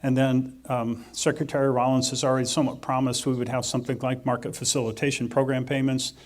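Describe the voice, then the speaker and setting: A man at a mid-range pitch gives an economics presentation in a relaxed, conversational manner. He works through ideas out loud and drops dry, self-deprecating jokes along the way. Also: fast talker. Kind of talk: conference presentation.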